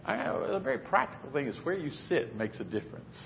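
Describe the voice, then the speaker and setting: A man's voice: on the high side, sing-song, quick pace, creaky, a little hiss or hum. A man preaching a sermon in a conversational, plainspoken way in a church meeting room. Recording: dull lo-fi recording.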